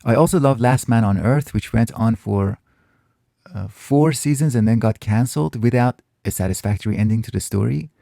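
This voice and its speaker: A man whose first language is Farsi, hosting a casual Q&A and answering viewer questions in a thoughtful way, often pausing and restarting his phrases. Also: mid-range voice, gravelly, mellow, no room sound, studio quality. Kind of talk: casual reflective Q&A monologue.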